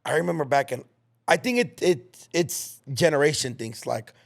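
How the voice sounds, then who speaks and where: Gravelly-voiced young man, casual and slangy. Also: fairly high pitch, moderate pace. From United States. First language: Spanish. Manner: slangy, rambling, frequent restarts. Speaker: podcast guest. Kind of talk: casual chat.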